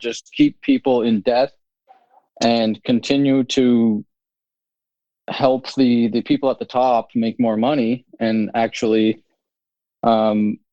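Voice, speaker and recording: A man's voice: medium pitch, warm tone, dry recording. A man talking as a podcast guest, rambling and restarting his thoughts as he explains. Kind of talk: casual conversation. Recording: dull lo-fi audio.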